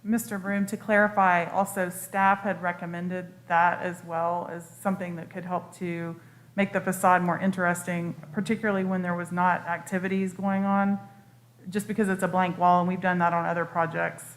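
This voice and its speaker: A woman's voice: lowish voice, level tone, moderate pace. A woman speaking as a city staff member, giving a formal report and recommendations at a meeting.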